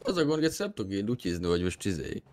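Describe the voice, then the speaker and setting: Very animated, slangy man gaming. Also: lowish voice, crowd noise. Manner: crude, slangy. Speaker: roleplay gamer. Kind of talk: casual in-game roleplay banter. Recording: noisy gaming voice chat.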